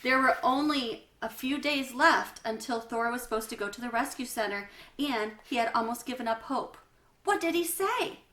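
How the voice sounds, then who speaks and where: A woman reading a children's story aloud as a storyteller, with expressive delivery. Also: a little high, moderate pace. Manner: explains hard words in asides. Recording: roomy, echoey room sound.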